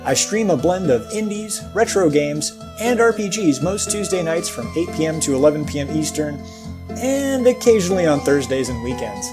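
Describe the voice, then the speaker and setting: Lively streamer promo over guitar music. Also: mid-range voice, normal speed. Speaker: video game streamer. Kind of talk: scripted promo for a game stream.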